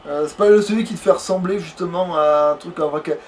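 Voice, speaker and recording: A man's voice: a little high, fast talker. A man playing a video game and chatting casually, with a blunt, slangy, offhand way of talking. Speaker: player in a let's play. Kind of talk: casual, slangy gaming chat. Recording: distant mic, faint background noise.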